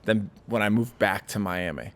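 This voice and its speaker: A man's voice: fairly low pitch, moderate pace, fairly bright tone. A young man, a stand-up comedian, chatting casually as a podcast guest, rambling with many fillers and restarts. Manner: rambling, lots of likes and restarts.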